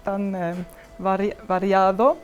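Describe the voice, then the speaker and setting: A Swiss woman guest, not a native Spanish speaker, with a mixed Venezuelan and Argentine accent in her Spanish, answering warmly and politely with small hesitations. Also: medium pitch, steady tone, slow, dull audio, faint music behind. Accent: Latin American Spanish learned abroad, mixed Venezuelan and Argentine. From Switzerland. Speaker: ambassador guest. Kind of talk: interview answers.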